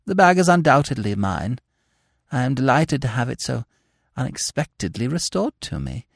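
A man's voice: mid-range voice, very animated, normal speed, gravelly, husky, no room sound, studio quality, pitched above the performer's own voice, exaggerated voice. A man putting on a fussy prim spinster governess. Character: flustered prim spinster governess, middle-aged woman.

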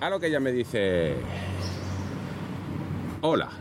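Playful male storyteller from Aragon; lively pitch, noisy café. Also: slow pace. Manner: teasing, tells a prank story with laughs. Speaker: podcast co-host. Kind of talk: casual chat, storytelling.

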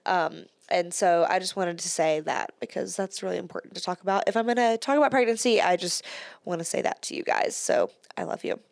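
A woman's voice: fairly low pitch, a little fast, vocal fry, no echo, clean studio sound. A woman hosting her own podcast in casual solo chat, a little congested from a cold, talking in a chatty way with frequent "like" and small laughs. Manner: chatty, says "like" often, laughs between words. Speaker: podcast host.

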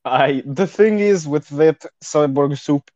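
Podcast co-host, male, dark voice on a dry, dull recording, mock-solemn deadpan rambling. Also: fairly high pitch, slow, no echo. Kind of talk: improvised comedy banter.

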